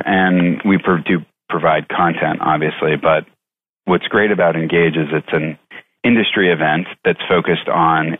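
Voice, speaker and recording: A man answering interview questions as a company executive, speaking conversationally in measured, halting phrases with repeats, over a tinny phone-like connection. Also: a bass voice. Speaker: chief executive, interview guest.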